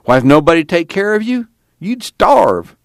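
A man's voice: high-pitched, big pitch swings, moderate pace, creaky, no echo, clean studio sound, pitched above the performer's own voice, heavily acted. A man voicing a worried, hard-pressed farm father with urgent, folksy rural speech.

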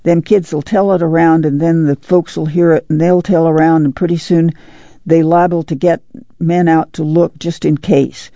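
A woman's voice: low voice, steady tone, moderate pace, mellow, no room sound, lo-fi sound. A woman playing a worried, stern but loving mother in a dramatized novel reading, with folksy country speech and an urgent, pleading way of talking. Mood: anxious, tender.

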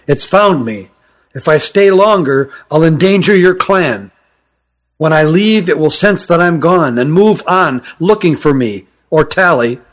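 A man's voice: a little high, expressive, unhurried. A man plays a sturdy young man in an audiobook tale. He is frightened and guarded, keeping his secrets close. His delivery is urgent and insistent, pressing his listener to believe him. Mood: grave, pressing. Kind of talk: audiobook character dialogue.

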